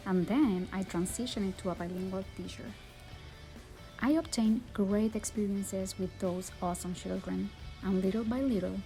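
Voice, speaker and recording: Earnest Puerto Rican woman, mellow voice. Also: mid-range voice, low-quality recording. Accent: Puerto Rican Spanish. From Puerto Rico. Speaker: speaker. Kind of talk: personal story talk.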